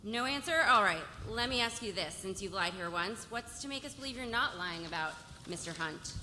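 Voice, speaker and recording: A woman playing a sharp, relentless opposing lawyer, pointedly cross-examining in a live drama read. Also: mid-range voice, lively intonation, moderate pace, natural voice. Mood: scornful. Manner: probing, pointed. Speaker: actor. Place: festival stage in a large hall. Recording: hall reverb with faint noise, live stage.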